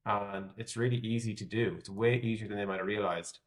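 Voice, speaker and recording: A man with an Irish accent speaks in a fairly low voice. He answers as a tech executive in a podcast interview, conversational and candid, reasoning out loud and qualifying what he predicts. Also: steady tone, brisk. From Ireland. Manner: candid, thinking aloud, hedging his predictions.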